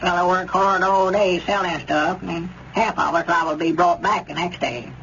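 A man's voice: sits high, moderate pace, fairly bright tone. An actor playing a stubborn, querulous old countryman in a 1930s radio comedy, rambling in a rural Southern American accent. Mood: agreeable. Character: fussy, stubborn old country codger, hard of hearing. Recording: muffled, noisy 1930s radio recording.

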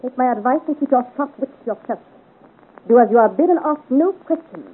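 A woman's voice: high-pitched, lively intonation, brisk, breathy edge. A woman playing a haughty, scheming French noblewoman villain in a 1950s radio serial, imperious and theatrical in her commands. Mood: sharp, imperious. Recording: muffled vintage radio serial recording.

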